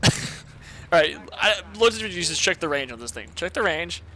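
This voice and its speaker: A man casually commentating a strategy game replay, excitable and laughing often, talking to his chat, his voice a bit hoarse. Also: on the high side, normal speed, a little hiss or hum, low-quality recording. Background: video game gunfire and artillery.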